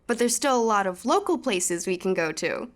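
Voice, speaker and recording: A woman's voice: mid-range voice, lively intonation, normal speed, natural voice. A young woman playing a cheerful, affectionate newlywed in an audio drama. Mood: eager, affectionate. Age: young adult. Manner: eager, affectionate. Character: cheerful, smitten newlywed woman house-hunting.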